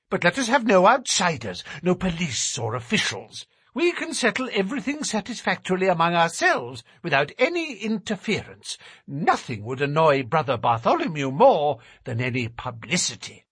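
A man voicing a twitchy, fussy young man with a thin, high voice and an anxious manner. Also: a bit bright, husky, no room sound, clean studio sound, exaggerated voice. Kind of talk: audiobook character reading.

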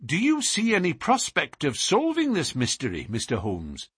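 Storybook young woman, done by a man. Raised pitch, brisk, challenging, sharp-tempered, strong character. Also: sing-song, breathy edge, no echo, clean studio sound, exaggerated voice. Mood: impatient, tart.

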